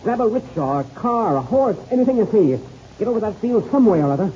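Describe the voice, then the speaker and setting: An American man playing a calm, authoritative veteran pilot in a 1930s radio serial. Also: sits high. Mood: urgent, commanding. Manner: brisk, authoritative, giving orders. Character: calm, commanding veteran airplane pilot, older comrade. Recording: muffled old radio recording with noise.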